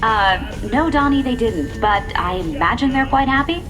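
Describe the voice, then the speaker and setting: A woman playing an earnest, probing TV field reporter with a crisp, professional broadcast delivery in a game newscast. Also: a little high, animated, moderate pace, over music, natural voice, rough recording. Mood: awkward. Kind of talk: scripted live news report.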